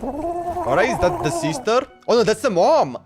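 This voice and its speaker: A man's voice: very high-pitched, sing-song, moderate pace. A man doing casual, slangy live commentary as he streams a video game. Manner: slangy, informal. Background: game music.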